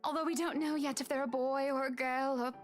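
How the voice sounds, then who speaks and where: Woman playing genteel young wife, high pitch, measured, pointed, stern, game character. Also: steady tone, soft background music, lightly acted. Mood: composed, pointed. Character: composed, pointed, aristocratic young wife confronting husband.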